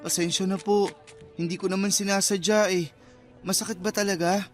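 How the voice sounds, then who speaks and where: A man's voice: high voice, fairly even intonation, a little fast, natural voice. A man acting an earnest, awkward, apologetic young man in a Filipino radio drama. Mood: concerned, apologetic. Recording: music bed behind the voice.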